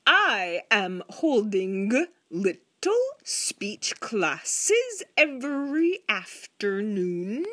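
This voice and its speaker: A woman voicing a prim, condescending neighbor lady who speaks with overly precise, slow diction. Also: mid-range voice, pitched above the performer's own voice, heavily acted.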